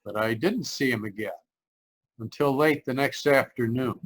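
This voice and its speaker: A man's voice: medium pitch, normal speed, close to an everyday voice, low-quality recording. An actor playing a haunted, anxious driver narrating his road trip in old-time radio style, tense and earnest. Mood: brooding, uneasy. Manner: tense, earnest, dramatic storytelling. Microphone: video call. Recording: live video-call performance.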